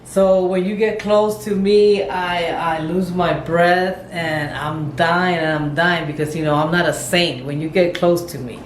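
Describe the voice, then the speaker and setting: A woman's voice: on the low side, fairly even intonation, measured pace, hardly any pauses. A middle-aged woman giving casual, rambling commentary, thinking aloud as she repeats and rewords herself. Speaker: vlogger paying homage to a pop singer. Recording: echoey room with faint background noise.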